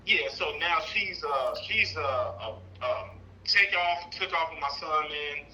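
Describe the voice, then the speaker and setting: A thirty-year-old man talking casually on a call, telling a personal story in a rambling, animated way. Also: on the high side, unhurried, muffled recording. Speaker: caller seeking advice, trucking business owner.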